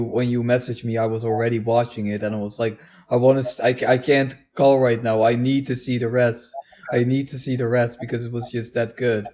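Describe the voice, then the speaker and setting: A man's voice: mid-range voice, warm tone. Guy just chatting on a voice call, unscripted, kinda hesitant, repeats himself a lot. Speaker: video co-host. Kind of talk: casual unscripted online chat.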